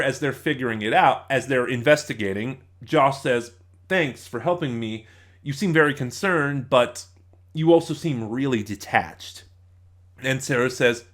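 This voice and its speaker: Male reviewer, mid-pitched voice, informal and emphatic, halting exasperated delivery. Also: a bit bright. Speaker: audio drama reviewer.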